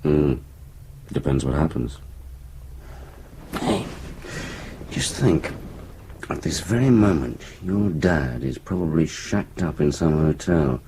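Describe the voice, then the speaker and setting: A man's voice: a bass voice, expressive, slow pace, pauses often, background noise, exaggerated voice. An actor playing a wry, easygoing British man supporting his partner in a radio drama. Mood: weary, wry.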